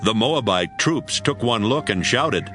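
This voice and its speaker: A man narrating scripture from a script as a measured, dramatic storyteller. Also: medium pitch, expressive, bright, forceful tone. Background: music.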